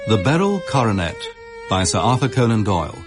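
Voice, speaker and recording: A man's voice: lowish voice, very animated, fairly quick. Male radio announcer over violin music. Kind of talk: scripted radio drama credits announcement. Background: prominent violin music.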